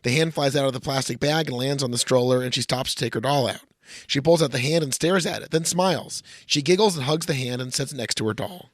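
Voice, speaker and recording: A man's voice: medium pitch, fast, dry recording, studio quality. A man co-hosting a comedy podcast, reading a screenplay and bantering casually with profane, exasperated asides. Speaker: podcast co-host.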